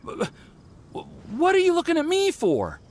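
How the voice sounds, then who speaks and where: A man's voice: extremely high pitch, wildly swooping pitch, normal speed, darkish tone, a little hiss or hum, exaggerated voice. A man playing a nervous, awkward but good-natured young alchemist in a video game, stammering and easily flustered.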